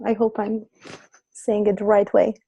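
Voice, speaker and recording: A woman's voice: mid-range voice, completely flat delivery, measured pace, gravelly, mellow, husky, highs cut off. A woman hosting a webinar on a video call, politely calling on each guest. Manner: polite, apologetic about names. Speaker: webinar host.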